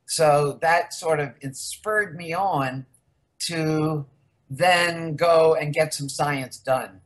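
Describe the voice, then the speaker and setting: A woman's voice: low-pitched, very slow. An older woman from the United States, a researcher guest in a casual interview, rambling candidly through her story.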